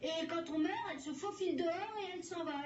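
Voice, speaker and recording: An earnest high-voiced cartoon boy. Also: racing, heavy background noise. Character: earnest, naive young cartoon boy, gullible then crafty. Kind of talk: French-dubbed cartoon acting. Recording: muffled, echoey, distant cartoon playback with music.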